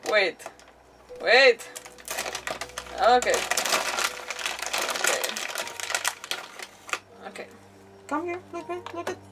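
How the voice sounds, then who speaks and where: A high-voiced woman livestreaming a game in casual, playful chatter. Her pitch moves a lot, and she sounds away from the mic with faint music behind. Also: big pitch swings, extremely slow, pauses often, recorded from a distance, noisy background.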